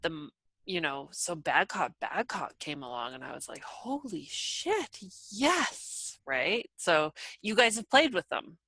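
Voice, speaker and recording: A woman's voice: deep voice, sing-song, measured pace, pushed and bright, no echo. A young woman hosting a podcast, talking animatedly with lots of "like" and the odd swear word. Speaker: podcast host. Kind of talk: casual chat.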